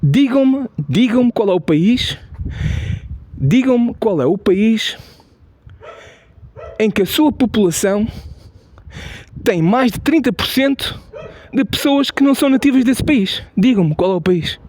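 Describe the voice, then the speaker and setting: A man with a European Portuguese accent giving an unscripted opinion monologue, halting and repetitive with many restarts and emphatic stress. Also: high-pitched, animated, a little mellow. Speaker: video commentator.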